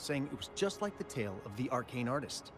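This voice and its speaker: A man's voice: mid-range voice, racing, close to an everyday voice. An actor playing a nervous, chatty young miner telling what he saw, in a game voiceover. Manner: lively, storytelling, jumpy. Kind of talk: game voice acting. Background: game music.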